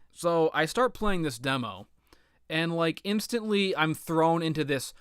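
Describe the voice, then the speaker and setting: A man's voice: on the high side, a bit bright, clean tone, dry recording, clean studio sound. A man giving a casual, comedic rant as a video game commentator, exasperated and profane, with rambling, stammered repetitions and plenty of exaggeration.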